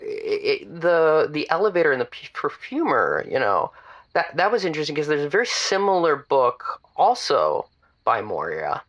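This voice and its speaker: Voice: medium pitch, wildly swooping pitch, normal speed, fairly bright tone, dull audio. An actor playing an awkward, bookish, politely skeptical advice seeker who stammers and hesitates. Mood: politely doubtful.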